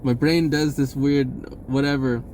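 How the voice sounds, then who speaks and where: A man's voice: medium pitch, steady tone, measured pace, darkish tone, a little hiss or hum. An American man telling personal stories casually and off the cuff as a self-help vlogger, rambling, slangy and often interrupting himself. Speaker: vlogger sharing spiritual self-help advice. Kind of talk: casual unscripted personal storytelling.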